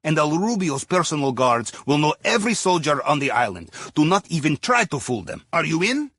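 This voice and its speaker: Lively, husky Russian submarine captain. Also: male voice, fairly high pitch, a little fast, dry recording, full character voice. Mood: wry, instructive. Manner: wry, conspiratorial, tags statements with questions. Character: genial, wry Russian ex-navy submarine captain and heist handler. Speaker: mission handler. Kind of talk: video game mission dialogue over comms.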